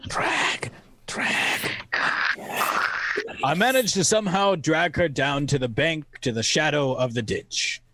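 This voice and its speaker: A man playing a boy adventurer who narrates his tale in a radio play with deliberate, dramatic suspense. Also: on the high side, sharp tone, a little hiss or hum. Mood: grave.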